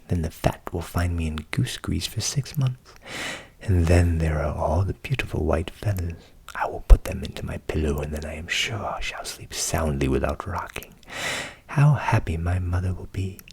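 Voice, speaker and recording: A man's voice: deep voice, animated, normal speed, vocal fry, a little mellow, breathy edge, pitched below the performer's own voice, heavily acted. A man voicing a naive, cheerful, trusting young man in a storybook, with earnest exclamations. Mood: self-satisfied.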